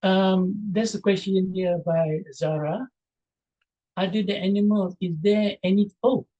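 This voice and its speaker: A man's voice: high voice, dark tone. Hesitant Malaysian-raised man on a dull call. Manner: reads out chat questions, answers with hesitant fillers. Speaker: presenter. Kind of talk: Q&A after an online talk. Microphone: video call.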